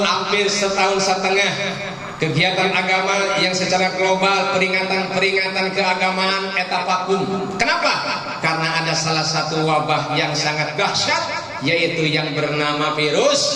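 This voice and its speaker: A man preaches in an emphatic, folksy manner with a Sundanese Indonesian accent, his voice a little high. It comes over distant and heavily echoing in a large hall, with a crowd audible behind him. He speaks as a preacher addressing a gathering, often posing questions to them. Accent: Sundanese-region Indonesian. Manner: rhetorical questions put to listeners, emphatic, folksy. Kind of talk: religious sermon to a gathering, mixing languages. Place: large echoing venue at a school event.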